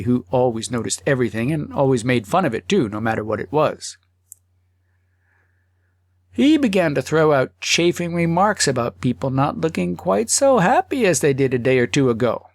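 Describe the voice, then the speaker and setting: A man narrating a humorous literary story as an audiobook, phrasing it deliberately and expressively with pauses. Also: mid-range voice, lively intonation, no echo, clean studio sound.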